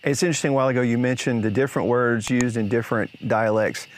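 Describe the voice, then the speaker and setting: A man's voice: medium pitch, fairly even intonation, quick pace, a little hiss or hum. Man, podcast host, casual chat, storytelling asides.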